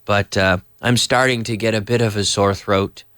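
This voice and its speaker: A man with a cold and a hoarse voice, teaching English live to viewers in a chatty, slow, explaining way. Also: lowish voice, fairly even intonation, fairly bright tone, no room sound, studio quality. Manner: chatty, reads viewer messages aloud and explains slowly. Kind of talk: live online English lesson.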